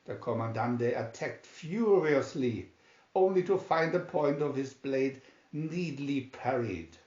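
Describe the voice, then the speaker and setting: Male storybook narrator: unhurried, theatrical emphasis, mid-pitched, roomy home recording. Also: animated, darkish tone, highs cut off. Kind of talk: dramatic scripted narration.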